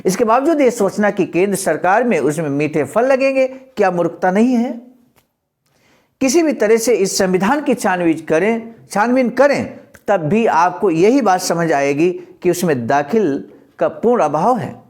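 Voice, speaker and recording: A man's voice: sits high, lively intonation, normal speed, a little mellow. A man presenting a historical political speech, reading it aloud in a formal, explanatory and oratorical manner.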